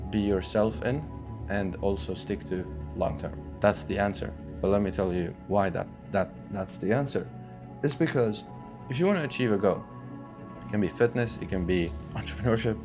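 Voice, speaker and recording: A man in his twenties talking earnestly in a loose, unscripted way, with many fillers and restarts, about his vision for entrepreneurship. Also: on the low side. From Syria, raised in Sweden. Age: 20s. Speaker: online entrepreneur. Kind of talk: talking-head motivational vlog.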